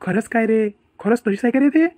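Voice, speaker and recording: A woman voicing an excitable village youth in a cartoon comedy, full of excuses and bluster, with a Varhadi Marathi accent. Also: on the high side, a little fast, no echo, studio quality, natural voice. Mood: curious, explaining. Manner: evasive, blustering.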